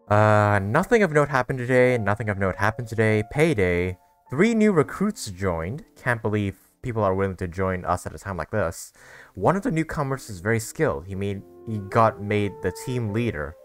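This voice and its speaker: A woman's voice: extremely low pitch, sing-song, moderate pace. A woman streamer giving casual commentary as she plays a game. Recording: faint game music behind.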